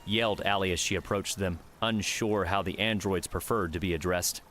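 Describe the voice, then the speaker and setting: A man's voice: low-pitched, steady tone, moderate pace, fairly bright tone. A man reading scripted audiobook narration as the storyteller.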